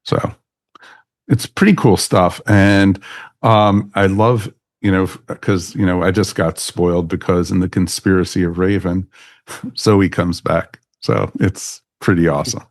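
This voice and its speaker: Low-voiced man hosting a podcast. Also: steady tone, a little slow, no echo, clean studio sound. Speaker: podcast host. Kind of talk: casual podcast chat.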